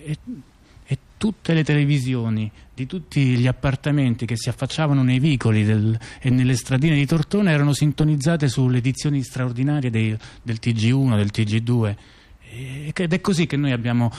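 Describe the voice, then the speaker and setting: A man playing a reflective intellectual in a radio theatre dialogue, recounting events and memories in a halting, conversational way with repeated words. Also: medium pitch, darkish tone, lightly acted. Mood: grave, reminiscing. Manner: halting, repeats words and restarts while recollecting. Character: reflective male intellectual recalling political events. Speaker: performer in a two-person radio theatre dialogue.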